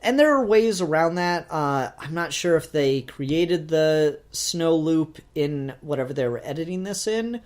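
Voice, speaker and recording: A man co-hosting a casual review discussion, thinking aloud in a halting way with repeated phrases. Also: fairly high pitch, expressive. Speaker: podcast co-host reviewing a fan film.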